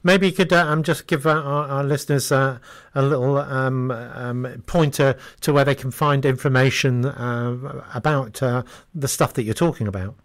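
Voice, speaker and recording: A man hosting a conversational radio interview, speaking haltingly with many hesitations and repeated starts. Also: medium pitch, steady tone. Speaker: radio host.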